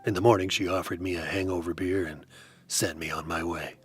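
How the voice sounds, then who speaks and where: Deep-voiced deadpan detective narrator. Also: male voice, brisk, over music. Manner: dry, deadpan, wry. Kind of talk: hard-boiled first-person narration.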